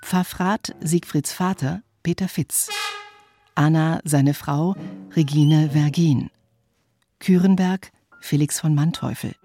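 A woman reading a scripted radio drama credits announcement in a measured, even manner, one item after another. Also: deep voice. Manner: measured, listing names evenly. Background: music.

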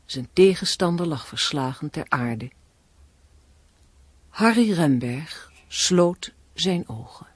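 A woman reads as the narrator of a radio play. Her voice is fairly low and husky, with a dry, close sound and a scripted, literary delivery. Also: animated, slow pace, long pauses, no echo.

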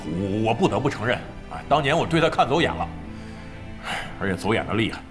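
Man acting as proud old gamer past his prime, blustery, foul-mouthed, hugely animated, audio drama, faint music behind. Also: fairly low pitch, fairly quick. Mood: grudging. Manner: blustery, cursing, defensive. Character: gruff, foul-mouthed, proud, washed-up older male gamer. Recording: background music under the dialogue.

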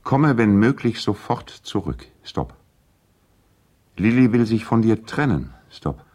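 An actor playing a courteous, earnest lawyer and family friend, reading out letters in formal, measured diction in a radio play. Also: male voice, on the low side, big pitch swings, darkish tone, exaggerated voice. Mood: urgent, concerned.

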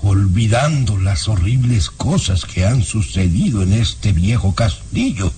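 An actor playing a stern, secretive baron and father in a melodramatic radio drama, commanding and deliberate. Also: on the low side, sing-song, mellow. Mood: grave, evasive. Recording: faintly noisy old radio recording.

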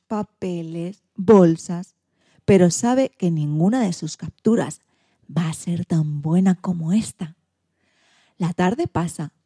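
A woman reading a children's storybook in an expressive, theatrical way. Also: on the low side, no room sound, clean studio sound. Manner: theatrical, acts out sound effects and exclamations.

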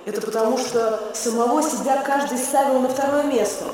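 An actress playing a solemn, sorrowful storyteller in a radio drama, speaking in a measured way. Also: female voice, high-pitched, darkish tone, lightly acted. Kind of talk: literary radio drama narration. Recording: very echoey, faint background noise.

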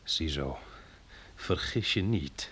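An actor playing a shrewd farmer and husband in a Dutch radio drama, speaking in a measured, persuasive, slightly husky voice. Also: male voice, very deep voice, animated, pushed and bright, a little hiss or hum, full character voice. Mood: urgent, conspiratorial.

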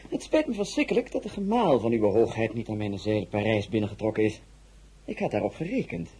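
Very husky, stately Tsar. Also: male voice, on the low side, sing-song, dark tone, exaggerated voice. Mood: courtly. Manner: formal, measured, stately. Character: suave, imperious Tsar of Russia, courtly and probing. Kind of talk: radio drama acting.

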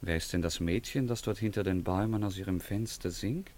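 A man's voice: deep voice, fairly quick, a loud crowd, close to an everyday voice. An actor playing an ardent, playful young nobleman in a theatrical radio play. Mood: lyrical.

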